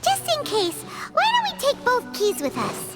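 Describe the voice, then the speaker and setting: A woman voicing a small, excitable childlike fairy companion in a video game, squeaky and emphatic. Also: normal speed, soft background music, heavily acted. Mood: curious. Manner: refers to self in third person, emphatic.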